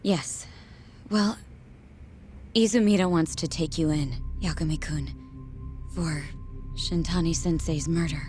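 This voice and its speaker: A woman playing an earnest, composed prosecutor in a video game cutscene, speaking in a measured, polite way. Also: sits low, a little mellow. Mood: reserved, hesitant. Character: Mafuyu, composed, earnest, formal female prosecutor. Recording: faint music behind the voice.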